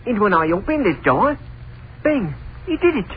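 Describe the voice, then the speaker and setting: A nervous witness with an extremely high voice that leaps about. He is excitable and defensive, in old radio style, on a tinny recording. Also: normal speed, slight background noise. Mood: excited. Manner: excitable, protesting innocence. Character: nervous, defensive male witness questioned after a death. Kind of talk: old-time radio acting.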